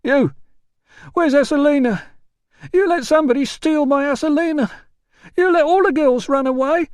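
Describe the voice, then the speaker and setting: A man's voice: high voice, normal speed, a little mellow, no room sound, studio quality, pitched above the performer's own voice. A man voicing a drunk, weepy army hospital porter who wails and slurs in grief. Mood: wailing drunk. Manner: slurred, wailing, grief-stricken.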